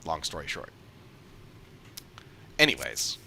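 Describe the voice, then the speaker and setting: Bright-voiced man in unhurried streamer talk. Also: extremely low pitch, very animated, gravelly, a little hiss or hum. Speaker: game streamer. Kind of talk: casual off-the-cuff livestream commentary.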